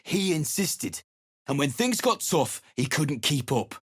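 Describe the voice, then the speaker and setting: An actor playing a surly, battle-worn mercenary adventurer in a video game, speaking in a gruff, blunt, dismissive way. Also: male voice, fairly high pitch, big pitch swings, measured pace, no room sound, clean studio sound, exaggerated voice.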